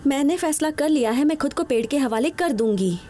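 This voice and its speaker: High-voiced, stubborn young cartoon heroine. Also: female voice, expressive, brisk, warm tone. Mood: determined. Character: brave stubborn kind young empress-to-be, a girl. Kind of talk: Hindi cartoon dubbing. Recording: music behind the voice.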